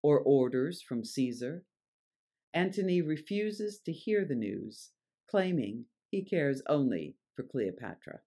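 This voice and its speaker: A man's voice: mid-range voice, big pitch swings, takes it slowly, warm tone. A man reading scripted, explanatory introductions as a narrator.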